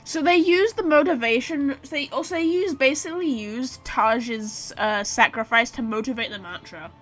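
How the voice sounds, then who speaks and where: A woman's voice: high voice, moderate pace, faint music behind. A woman giving casual, chatty live game commentary, reacting aloud and talking to her chat. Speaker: game livestreamer.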